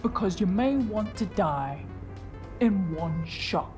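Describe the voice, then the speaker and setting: A woman's voice: medium pitch, lively intonation, slow, darkish tone. An actress playing a tough, suspicious woman holding a shotgun, interrogating with menace, with music behind her. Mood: menacing. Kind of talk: audio drama acting.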